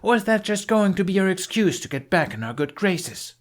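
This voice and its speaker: Man voicing a fierce high-pitched young Viking woman. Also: very animated, fairly quick. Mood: furious, challenging. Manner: indignant, forceful, shouting accusations. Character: angry accusing young Viking warrior woman.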